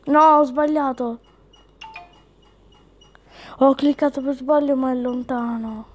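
A woman with a fairly high voice gives casual game commentary. She is chatty, slipping between her own remarks and reading the game's text out loud. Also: fairly even intonation, slow. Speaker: gaming video host.

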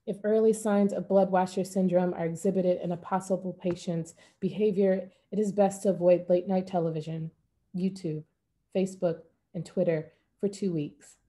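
A middle-aged woman reading poetry in a measured, deliberate way, like a clinical report, on a video call at home. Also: on the low side, fairly even intonation, dark tone. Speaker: poet. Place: room at home. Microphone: computer mic on a video call.

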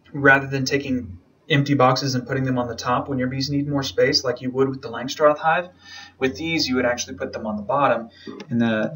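A man's voice: medium pitch, rough recording. A man with a mid-range voice delivers an informal lesson. He explains things step by step in a casual, enthusiastic way, like an instructor talking through slides. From southern United States. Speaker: beekeeping instructor. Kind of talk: informal lecture over slides.

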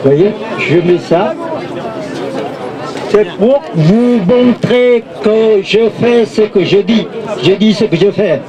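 An older man from Vietnam gives a halting speech at an outdoor rally into a handheld mic, checking in with the crowd again and again. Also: sits high. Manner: halting, repeats "vous voyez?" to the crowd. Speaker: protest speaker. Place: outdoor street rally in a city square. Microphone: handheld mic through a loudspeaker. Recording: open-air rally with crowd noise. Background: crowd of protesters.

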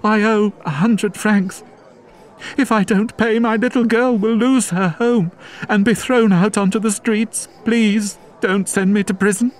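A man putting on a desperate young mother's high plea. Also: fairly even intonation, unhurried. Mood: pleading. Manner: high strained pleading falsetto.

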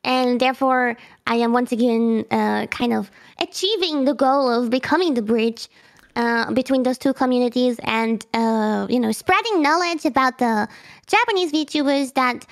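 A young woman with a bright, high voice talks through a convention Q&A. German is her first language, and she is bubbly and chatty, full of "um"s and quick restarts. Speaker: guest streamer. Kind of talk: convention Q&A interview.

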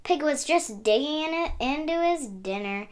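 A woman's voice: high voice, expressive, normal speed. A woman hosting a children's read-aloud, narrating expressively in a sing-song, emphatic way. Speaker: story reader and channel host.

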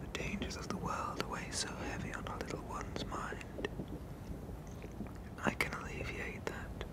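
A man voicing a protective, possessive sea monster father in a hushed, soothing ASMR roleplay with formal phrasing. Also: a little slow, close to an everyday voice. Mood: pleading, possessive.

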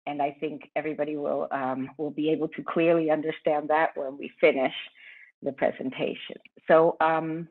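Middle-aged woman from argentina, friendly chatty talk full of ums, low-ish voice, tinny call audio. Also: flat delivery, normal speed. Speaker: tour guide presenting online. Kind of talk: conference presentation over video call. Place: indoor room at home. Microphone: laptop webcam on a video call.

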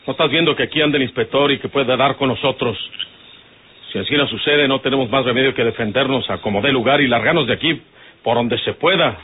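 An actor playing a domineering older outlaw boss in an old radio drama, with a rural northern Mexican accent and a folksy, commanding manner. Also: male voice, medium pitch, quick pace, close to an everyday voice. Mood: harsh, impatient. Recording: noisy, tinny vintage radio recording.

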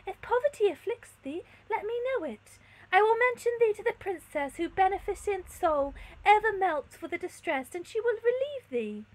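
A woman's voice: extremely high pitch, very animated, moderate pace, pitched above the performer's own voice, rough recording. A woman voicing a composed, bold young princess in a gothic novel, formal and imperious. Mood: gracious. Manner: imperious, formal, archaic diction. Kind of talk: gothic novel read-aloud.

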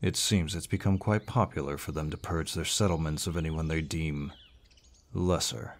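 An actor playing a large, gruff but kindly barbarian warrior in an audio roleplay, measured and reassuring, with a deep voice. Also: male voice, fairly even intonation. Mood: grave, weary. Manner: measured, reassuring, with pauses and sighs. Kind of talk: scripted audio roleplay, addressing the listener. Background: birdsong forest sound effects.

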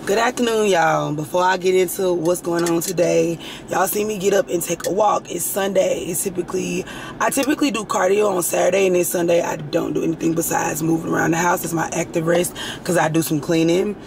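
A hoarse young woman with a raspy voice, chatting frankly and rambling to her vlog audience. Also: on the low side, fairly even intonation, fairly quick, darkish tone, slight background noise. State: hoarse, itchy throat. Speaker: lifestyle vlogger. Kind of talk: casual chat to camera.

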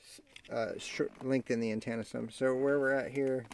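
A man talking through a hands-on tutorial in a casual, halting way, thinking aloud as he works. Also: mid-range voice, steady tone. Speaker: amateur how-to demonstrator. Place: inside a parked vehicle. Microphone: handheld camera mic. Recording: clearly noisy amateur camera audio, clicks.

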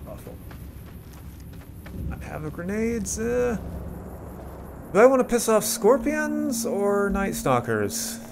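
A man's voice: high voice, big pitch swings, slow, gravelly, long pauses, noisy background. A man giving casual, rambling game commentary, thinking aloud as he plays. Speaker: gameplay host.

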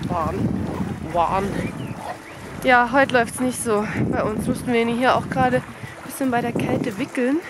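A woman in her early thirties, a mother chatting casually to a handheld camera on a walk by a lake outdoors and calling out loudly to her dog now and then. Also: fairly high pitch, very noisy. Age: early 30s. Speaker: vlogger and mother. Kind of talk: casual walk-and-talk vlog. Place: outdoors on a lakeshore beach. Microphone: handheld camera at arm's length.

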